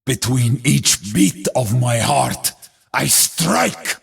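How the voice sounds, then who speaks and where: Gravelly war hero from a video game, super husky, slow heavy delivery, grim and defiant. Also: male voice, mid-range voice, expressive, exaggerated voice. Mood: solemn, defiant. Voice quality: raspy, gravelly. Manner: declamatory, weighty pronouncements. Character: battle-hardened warrior hero, grim, resolute and defiant.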